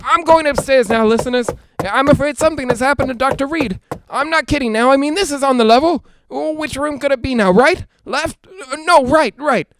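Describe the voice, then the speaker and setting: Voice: high voice, a little fast, hardly any pauses, fairly bright tone. An actor playing a frightened young broadcaster coming unravelled in a haunted house, stammering and rambling breathlessly in a 1940s radio drama style. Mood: panicked.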